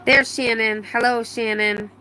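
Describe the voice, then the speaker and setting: A woman's voice: fairly high pitch, fairly even intonation, measured pace, sharp tone, poor audio. Chatty middle-aged woman hosting a live stream. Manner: chatty, rambling, many fillers and restarts. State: voice slightly strained after laryngitis. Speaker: livestream host. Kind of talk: casual live chat, reading out viewer comments.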